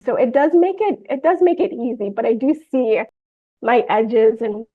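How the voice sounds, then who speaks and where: A woman's voice: a little high, moderate pace, phone-quality sound. A woman guest on a podcast, speaking earnestly and searching for words with frequent fillers. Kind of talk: conversational interview chat.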